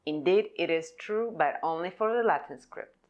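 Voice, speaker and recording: A young woman speaks English, her voice sitting a little low. She delivers a prepared conference presentation about her own type design, measured and deliberate, as if reading from notes. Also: lively intonation, lo-fi sound. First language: Polish.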